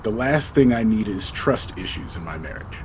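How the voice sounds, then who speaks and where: A man's voice: fairly low pitch, lively intonation, moderate pace, noisy background, natural voice. A man acting in an audio drama as a guarded, regretful husband who talks in a colloquial, defensive way. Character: married man hiding an old engagement, guarded and regretful.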